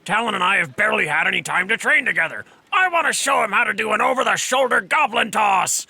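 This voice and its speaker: An actor playing a gruff, boisterous older veteran hero in an audio drama, with a raspy voice and hearty, coaching manner. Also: male voice, sits high, lively intonation, quick pace, pushed and bright, no echo, heavily acted. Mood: eager, impatient. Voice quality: gravelly, raspy. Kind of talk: audio drama character acting.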